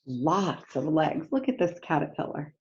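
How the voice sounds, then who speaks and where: A woman reading a picture book aloud to young children like a teacher, pausing to explain simply and ask them questions directly. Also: low-pitched, very animated, a little mellow, dull audio. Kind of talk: read-aloud story time lesson for young children, with explanatory asides.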